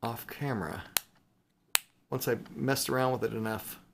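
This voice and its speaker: A man's voice: mid-range voice, moderate pace, creaky. Casual rambling man with background noise. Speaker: video host showing silver bullion purchases. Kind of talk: casual unscripted show-and-tell chat.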